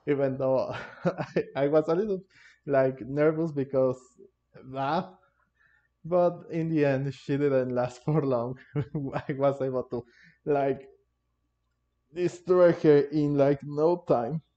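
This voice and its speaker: Slow-paced, mellow man commenting on gameplay. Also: fairly high pitch, lo-fi sound. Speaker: gamer playing and commenting. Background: faint game music.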